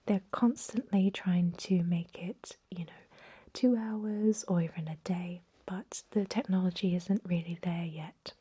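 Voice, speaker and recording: A woman whispering an ASMR roleplay as a gentle, reassuring vampire doctor, with hesitant, soothing bedside patter close to the microphone. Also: lowish voice, fairly even intonation, a little slow, no room sound. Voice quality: breathy whisper.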